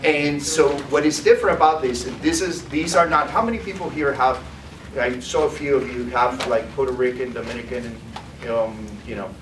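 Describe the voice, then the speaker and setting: Guy doing a food product demo, keeps saying okay?, boomy room, noisy. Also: normal speed. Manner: tags lines with "okay?". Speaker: sales presenter. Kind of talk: live product demo talk. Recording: echoey room, mic far off, noisy.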